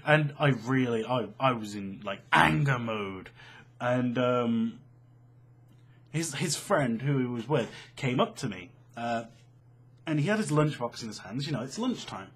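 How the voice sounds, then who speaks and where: A young British man tells a story in a casual, chatty way. His pitch leaps around a lot and his voice has a slightly husky grain. He swears freely and pokes fun at himself as he goes. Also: a little slow. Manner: animated, sweary, self-mocking. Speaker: online storyteller.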